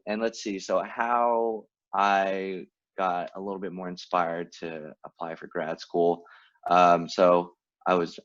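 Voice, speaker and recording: A very low-voiced man in his late twenties chats easily on a podcast, with fillers and frequent laughs. The audio sounds a little dull and lo-fi. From Vietnam, raised in California. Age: late 20s. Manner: laid-back, laughs often, lots of fillers. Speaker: podcast co-host. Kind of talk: casual chat.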